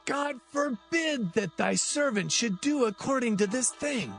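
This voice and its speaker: An actor playing a shocked, humbly protesting servant in a dramatised scripture reading. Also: male voice, very high voice, expressive, unhurried, gravelly, fairly bright tone, faint music behind, full character voice.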